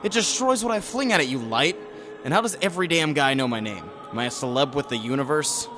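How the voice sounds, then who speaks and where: A man's voice: a little high, lively intonation, quick pace, natural voice. A man playing a grumpy, reluctant monster-hunting hero in a comic audio drama, sarcastic and exasperated. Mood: irritated. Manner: exasperated, stilted heroic phrasing with slangy gripes. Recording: music behind the voice.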